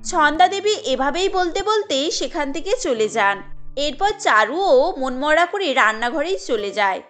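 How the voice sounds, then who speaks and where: A woman's voice: high-pitched, very animated, unhurried, a bit bright, faint music behind. A woman narrating a cartoon family tale in a scripted storybook style.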